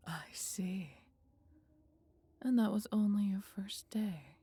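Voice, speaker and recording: A woman voicing a gentle, wise dragon in an intimate audio roleplay, with a breathy, half-whispered voice and measured, formal phrasing. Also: mid-range voice, exaggerated voice. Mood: warm, wistful. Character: gentle, wise female dragon, fond of her human. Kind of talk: slow, intimate roleplay acting addressed to listener.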